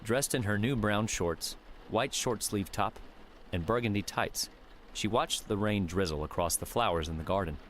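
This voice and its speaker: A man narrating an audiobook in a steady, measured reading. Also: low-pitched, slight background noise, poor audio.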